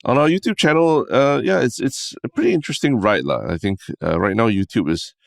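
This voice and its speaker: A young man with a Singaporean accent co-hosting a casual podcast, relaxed and tagging his sentences with "lah" and "right?". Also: medium pitch, brisk, vocal fry, dry recording, clean studio sound. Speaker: podcast co-host.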